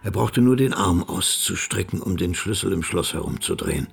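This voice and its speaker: A man reading scripted literary narration for a radio drama in a measured, grave way, with music underneath. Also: sits low, gravelly.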